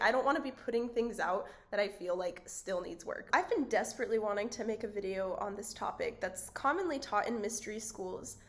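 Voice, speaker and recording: A woman hosting her own channel in a casual, chatty vlog, her voice raspy and hoarse, with frequent fillers and little laughs. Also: medium pitch, a bit bright. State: hoarse, voice cutting out. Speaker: channel host. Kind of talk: casual spiritual vlog talk.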